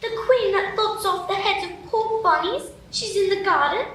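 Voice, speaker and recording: Woman doing a prim curious little girl, super high voice, echoey room and far from the mic. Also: moderate pace, a bit bright, a little hiss or hum. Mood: puzzled. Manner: wondering, earnest and prim. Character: curious, polite young girl lost in a strange land. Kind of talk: live radio play acting. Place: live stage.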